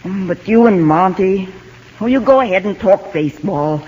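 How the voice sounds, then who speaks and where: Stern country ma on old radio, terse n skeptical, muffled sound. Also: female voice, mid-range voice, expressive, moderate pace, darkish tone, slightly rough. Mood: wary. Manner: folksy, dry, terse, skeptical. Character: stern, plain-spoken widowed Texas farm mother, middle-aged. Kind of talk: 1950s radio drama acting. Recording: dull old radio broadcast recording.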